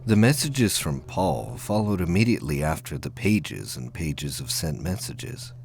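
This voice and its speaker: A man narrating a science fiction audio drama in a solemn, measured, dramatic storytelling style. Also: deep voice, very animated, creaky, darkish tone, a little hiss or hum.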